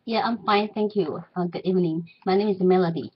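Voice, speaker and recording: A woman's voice: on the low side, fairly quick, muffled recording, slight background noise. A woman from Taiwan, a student answering with hesitant English and many fillers.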